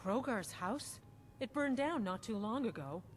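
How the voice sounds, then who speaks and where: An actress playing a plain-spoken, gossipy innkeeper in a fantasy game, talking in a frank, folksy way. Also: female voice, fairly high pitch, animated, a little slow, slight background noise.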